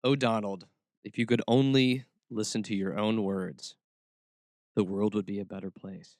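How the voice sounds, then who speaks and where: A man's voice: lowish voice, fairly even intonation, moderate pace, darkish tone, no room sound. Dry-miked male podcast host with wry asides. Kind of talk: casual talk show commentary.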